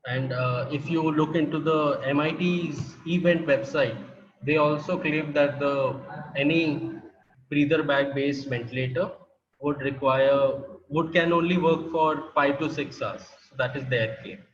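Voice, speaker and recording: A man's voice: fairly high pitch, fairly even intonation, measured pace, reverberant, muffled recording, slight background noise. A man from India with an Indian English accent, giving a technical workshop presentation over a video call, explaining step by step with fillers and restarts.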